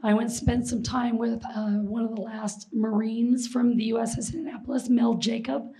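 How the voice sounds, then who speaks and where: Hoarse woman presenting on an auditorium stage. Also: a little high, moderate pace. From Seattle, Washington. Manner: lively, jokes with the audience, asks questions. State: hoarse, losing her voice. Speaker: presenter and flight attendant. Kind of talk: public history presentation to an audience. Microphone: handheld mic.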